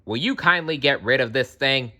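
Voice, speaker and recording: A man's voice: mid-range voice, expressive, normal speed, bright, forceful tone. A man voicing a mighty, sneering male eagle in a read-aloud storybook. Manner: sneering, dismissive.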